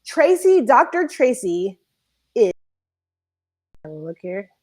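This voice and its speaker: A woman hosting a live online talk show, bubbly and rambling, talking in quick bursts. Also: on the high side, very animated, a little mellow. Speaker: show host.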